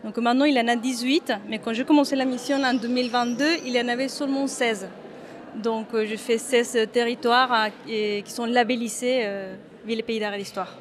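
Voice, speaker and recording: A hesitant woman from Mexico with a somewhat high voice answers an interviewer's questions about her work. She restarts her sentences often and fills pauses with "uh" as she searches for words. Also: steady tone, slight background noise. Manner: hesitant, many fillers and restarts. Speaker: photographer. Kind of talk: interview at an exhibition opening.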